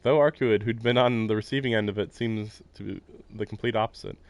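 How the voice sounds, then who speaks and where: A man's voice: fairly low pitch, steady tone, a little fast, no echo. A man live-streaming casual game commentary and reading narration aloud, rambling and musing in a jokey way.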